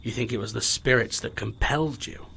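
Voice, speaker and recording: A man's voice: medium pitch, a little fast, gravelly, pushed and bright, husky, full character voice. A man playing a cocky, sardonic, self-appointed team leader in an audio drama, wry and self-assured. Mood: hushed, concerned.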